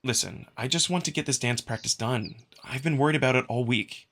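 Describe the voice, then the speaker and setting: A man's voice: mid-range voice, a little fast, a bit bright. A man voicing a curious, anxious young human with a hesitant, placating way of talking. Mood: nervous, placating.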